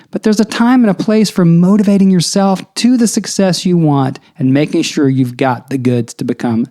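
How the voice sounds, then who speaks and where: A middle-aged man talks earnestly and urgently, pressing his listeners with rhetorical questions like a coach. His voice sits a little high for a man. It is mellow and warm in tone. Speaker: podcast host and songwriting coach. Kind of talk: motivational podcast monologue.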